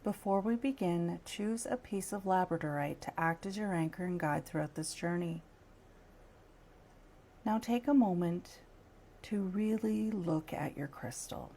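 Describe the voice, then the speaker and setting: A woman leading a guided meditation in a slow, soft, soothing way with long pauses. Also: fairly low pitch.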